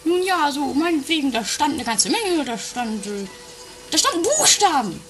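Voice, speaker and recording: A high, very animated female apprentice acted by a woman in an improvised comic audio play. She sounds skeptical and grudging, grumbling her way along with hesitations. Music plays clearly behind her. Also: moderate pace.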